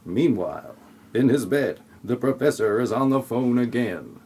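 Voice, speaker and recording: A man's voice: medium pitch, animated, measured pace, mellow, breathy edge, soft background music. A man reading scripted comic narration for an audio play in a wry, flippant way.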